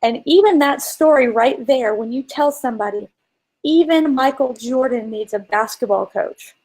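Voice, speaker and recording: High-pitched woman coaching casually. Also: normal speed, a little mellow, poor audio. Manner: informal, emphatic, illustrates with examples. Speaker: co-host and marketing coach. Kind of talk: casual business coaching chat.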